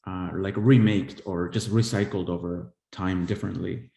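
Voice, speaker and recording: A man in his forties from El Salvador, an artist giving a live-streamed talk on his work, who speaks haltingly with many pauses and restarts. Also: sits low, fairly even intonation, vocal fry, warm tone. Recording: echoey room.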